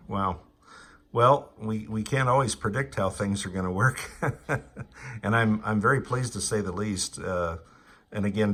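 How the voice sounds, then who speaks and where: A man talking casually as an amateur radio operator, explaining gear technically in a halting, hesitant way. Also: sits low, a bit bright. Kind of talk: casual ham radio chat.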